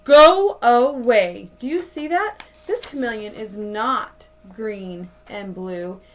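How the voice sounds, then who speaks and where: A woman in her thirties reading a picture book aloud to children like a teacher, with deliberate, dramatic emphasis. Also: a little high, expressive. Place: small indoor room at home.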